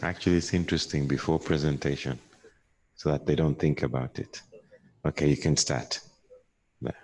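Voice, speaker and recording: Low voiced guy running a conference panel, quick and jokey, stumbles on repeats. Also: steady tone, darkish tone, poor audio. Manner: brisk and jokey, repeats words. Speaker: session moderator. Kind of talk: moderating a panel. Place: conference hall.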